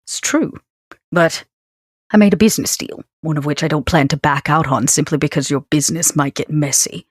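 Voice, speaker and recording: A woman voicing a scheming vampire businesswoman in a tabletop game, speaking formally and deliberately with measured pauses. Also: deep voice, slightly rough, no room sound, clean studio sound. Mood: sly, assured.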